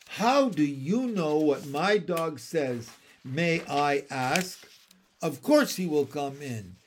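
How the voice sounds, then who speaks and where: A man's voice: fairly high pitch, very animated, slow pace, a little hiss or hum, heavily acted. A man voicing a fussy, haughty lady dog owner in a storybook, indignant and wailing in her exclamations.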